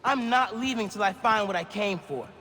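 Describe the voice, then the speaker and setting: A man's voice: high-pitched, normal speed. An actor playing an earnest, determined teenage boy hero with a boyish delivery in a dramatic video game scene. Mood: defiant. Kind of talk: dramatic game cutscene acting. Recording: loud ambient and vehicle noise.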